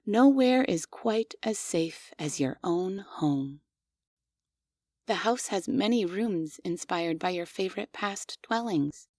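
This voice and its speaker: A woman voicing a devoted, obsessive female android maid in an audio roleplay, speaking softly and formally with quietly unsettling questions. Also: fairly low pitch, lively intonation, takes it slowly, no echo, studio quality. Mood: gentle, doting. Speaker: voice actress.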